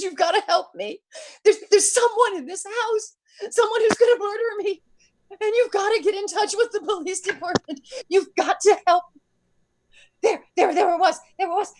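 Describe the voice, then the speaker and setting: Terrified invalid woman, very high voice, stammering, panicky repetition, vintage radio thriller. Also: normal speed, a little mellow. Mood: desperate panic. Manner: stammering, repeating words in panic. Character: frantic bedridden invalid woman, alone and terrified. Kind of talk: old-time radio suspense acting.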